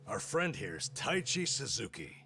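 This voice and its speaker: A jovial, warm-hearted middle-aged working guy from a video game. The voice sits very low but swings widely in pitch. He talks informally, blurting things out with plenty of expression. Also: very animated, unhurried, vocal fry, faint music behind, full character voice. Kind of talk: dramatic video game acting.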